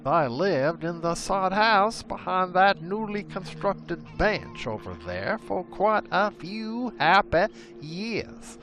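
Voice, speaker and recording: Slow, folksy male storyteller with swooping pitch. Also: big pitch swings, over music. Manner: rustic old-timey storyteller phrasing. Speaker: storyteller narrator. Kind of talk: folksy scripted narration of a frontier legend.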